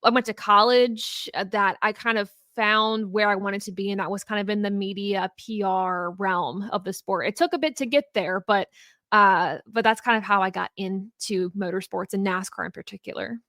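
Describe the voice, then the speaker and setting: A woman's voice: mid-range voice, moderate pace, sharp tone, no room sound, clean studio sound. A young woman talking about her career as a podcast guest, upbeat and chatty with many "like"s. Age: young adult. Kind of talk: casual interview chat.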